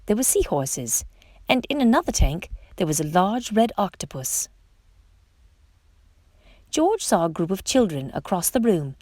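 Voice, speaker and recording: A woman reading a children's picture book aloud as a storytime narrator, with expressive emphasis on questions and exclamations. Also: medium pitch, no echo. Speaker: storytime reader.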